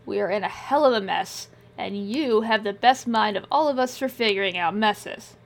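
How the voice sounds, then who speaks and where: A woman voicing a pushy, blunt male comrade who talks loudly and directly. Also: mid-range voice, normal speed, gravelly, natural voice. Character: gruff pushy male comrade with strong regional accent.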